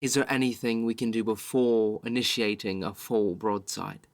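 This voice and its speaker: A man voicing a cautious, steady female ship captain in a tabletop game, measured and earnest in manner. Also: fairly low pitch, no room sound, close to an everyday voice. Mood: cautious resolve.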